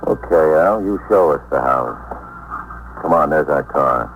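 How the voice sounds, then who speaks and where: Very deep-voiced, terse detective. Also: male voice, measured pace, noisy background, heavily acted. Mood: brisk, insistent. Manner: clipped, deadpan. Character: terse, skeptical police detective on questioning. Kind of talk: 1950s radio drama acting. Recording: tinny, band-limited 1950s radio recording.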